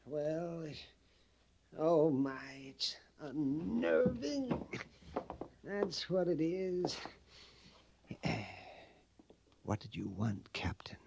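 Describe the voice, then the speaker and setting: An actor playing a fussy, nervous and suspicious storekeeper with a prissy manner in an old radio western. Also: male voice, a little high, big pitch swings, extremely slow, creaky, pauses often, slight background noise, full character voice. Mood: nervous, hushed. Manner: nervous, hushed then indignant, prissy. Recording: dull vintage radio recording.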